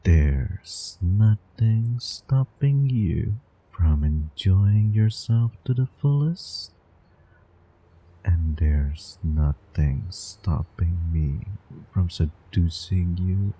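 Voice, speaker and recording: A man's voice: low voice, mellow, full character voice, rough recording. A man playing an obsessively devoted, doting time-traveling lover in an intimate roleplay audio drama, coaxing the listener. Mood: seductive. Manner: slow, intimate, coaxing, over-the-top devotion. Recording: background ambience, light noise bed.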